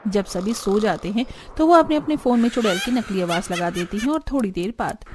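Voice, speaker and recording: A woman narrating a cartoon story in a lively, sing-song way. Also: mid-range voice, brisk, slight background noise. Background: soft background music.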